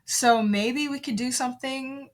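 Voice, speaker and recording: A woman's voice: sits high, fairly even intonation, a little slow. Friendly, easygoing woman guest. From from Massachusetts, raised in Texas. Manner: friendly, easygoing, laughs along. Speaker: guest recording artist. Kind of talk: casual interview chat.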